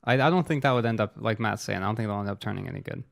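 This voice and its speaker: A man's voice: on the low side, racing, gravelly. A man in casual chat as a co-host, with a dry, skeptical way of talking. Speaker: show co-host.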